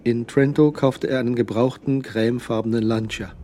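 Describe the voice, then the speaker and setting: A man's voice: mid-range voice, steady tone, moderate pace, mellow, slight background noise, lightly acted. A man acting as the dry, observant narrator of a German crime radio drama. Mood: matter-of-fact.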